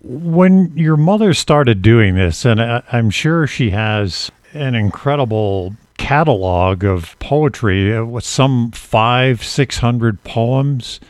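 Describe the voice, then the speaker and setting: Slow-paced man hosting an interview. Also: mid-range voice, lively intonation, dry recording. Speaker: interview host. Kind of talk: measured interview questioning.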